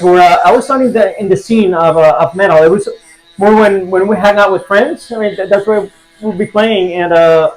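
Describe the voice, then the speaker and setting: A man in his forties from Puerto Rico talks casually as a podcast guest. His voice sits high for a man, and he hesitates often, filling gaps with "uh" and starting his sentences over. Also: poor audio. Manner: hesitant, many fillers and restarts. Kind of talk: casual conversation.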